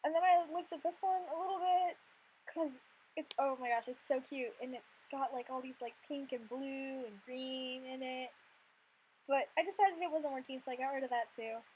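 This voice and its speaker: A young woman in her twenties with a high-pitched voice, a little hoarse from losing it, talks through a gameplay voice-over. She rambles in a chatty, filler-heavy way at a home desk mic. Faint hiss runs underneath. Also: moderate pace, darkish tone, poor audio. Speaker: Sims content creator.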